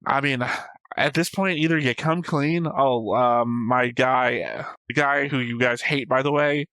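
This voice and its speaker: Man, mid-pitched voice, casual co-host chat, argumentative, wry humor, dry sound. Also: measured pace, bright, forceful tone, clear, resonant tone, dry recording. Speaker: reaction show co-host. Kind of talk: casual discussion of an animated series.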